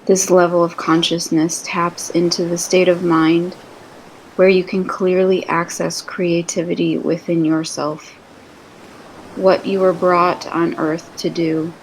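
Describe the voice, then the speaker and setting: A woman narrating a scripted guided meditation in a soothing, deliberate manner with gentle pauses between phrases. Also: fairly low pitch, level tone, slight background noise, poor audio.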